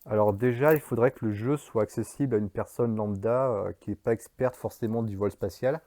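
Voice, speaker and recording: Chatty man with a low mellow voice. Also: brisk, dry recording, studio quality. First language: French. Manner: chatty, many fillers and restarts. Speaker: indie game developer. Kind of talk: casual devlog narration.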